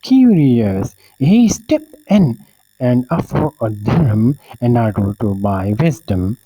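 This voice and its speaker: A man narrating a scripted moral story in a measured storyteller manner. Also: mid-range voice, sing-song, dark tone, slightly rough, no room sound, poor audio.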